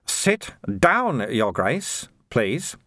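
A man voicing a thin robed monk who is cheerful, unruffled and gently teasing. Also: mid-range voice, big pitch swings, extremely slow, dry recording, studio quality, full character voice. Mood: calm.

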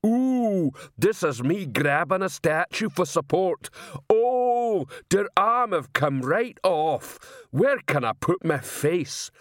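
A man voicing a big, gruff, bullying troll police sergeant in a storybook, with a growl, broken grammar and heavy sarcasm. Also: sits high, very animated, slow pace, no echo, clean studio sound, pitched above the performer's own voice, exaggerated voice. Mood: mock innocent.

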